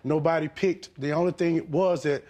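A middle-aged man from the American South testifying in a TV courtroom, speaking haltingly with many pauses and fillers, respectful toward the judge. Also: fairly high pitch, steady tone, a little mellow. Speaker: litigant. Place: TV courtroom set.

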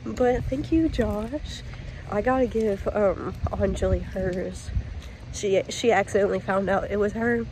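A young woman in college chatting casually to her phone camera in a relaxed vlog, upbeat and full of fillers. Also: mid-range voice, unhurried, a little hiss or hum, poor audio. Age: young adult. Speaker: college student vlogger. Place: dorm room and outdoors. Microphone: phone held at arm's length.

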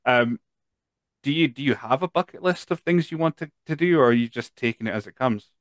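A man's voice: medium pitch, a little fast, no room sound. A man in his thirties hosting a podcast, asking long friendly questions with many restarts, into a studio mic at home. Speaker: podcast host. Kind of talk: casual interview. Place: home room with collectibles. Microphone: boom studio mic with headphones.

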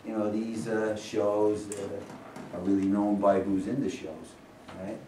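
A man's voice: on the low side, little pitch movement, slow, dark tone. An older man giving an informal welcome speech to an audience as playwright and director, with an emphatic, rhetorical manner that keeps checking with listeners. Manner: emphatic, rhetorical, often checks with "right?" and "okay?". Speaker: playwright and director introducing a staged reading. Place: medium-sized indoor rehearsal room with audience. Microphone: camera several metres away. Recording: roomy, distant camera mic with faint noise.

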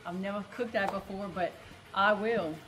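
A woman's voice: mid-range voice, fairly even intonation, normal speed, crowd noise, low-quality recording. A woman chatting casually off camera outdoors, adding short, playful remarks. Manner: brief, playful interjections. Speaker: vlogger's partner. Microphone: off-camera, away from the handheld camera.

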